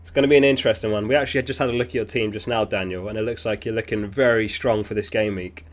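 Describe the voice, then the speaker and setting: A man with a somewhat low voice hosts a live stream in a loose, chatty way. He sounds sniffly and keeps clearing his throat. He thinks aloud with easy fillers as he answers viewers. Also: fairly even intonation, fast. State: sniffly, getting over an illness. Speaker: fantasy football livestream host. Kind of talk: casual livestream chat reading viewer messages.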